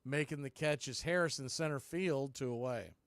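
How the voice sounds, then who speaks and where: A man's voice: mid-range voice, moderate pace, clear, resonant tone, dry recording. A man hosting live baseball play-by-play, giving punchy calls and folksy, opinionated commentary. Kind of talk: live radio sports commentary.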